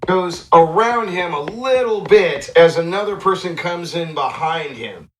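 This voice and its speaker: A man with a slightly high voice works as game master at a tabletop fantasy session. He describes the action casually, making it up as he goes, over faint background noise. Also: expressive, unhurried.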